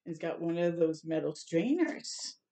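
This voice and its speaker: A woman reading a children's storybook aloud expressively, adding small asides and dramatic, drawn-out, hushed phrases. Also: fairly low pitch, lively intonation.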